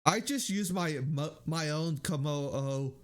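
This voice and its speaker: A man with a slightly high voice talks very slowly in casual game-stream chat. His delivery is halting, as if thinking aloud while he works. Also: fairly bright tone. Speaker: live streamer.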